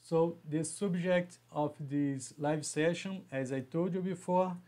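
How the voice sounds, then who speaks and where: Slow, halting man with a Brazilian accent. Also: fairly high pitch, fairly even intonation, mellow. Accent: Brazilian Portuguese. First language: Portuguese. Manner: halting, with frequent restarts and repeated words. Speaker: engineering educator hosting a live session. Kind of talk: live stream talk to viewers. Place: small room at home with bookshelves.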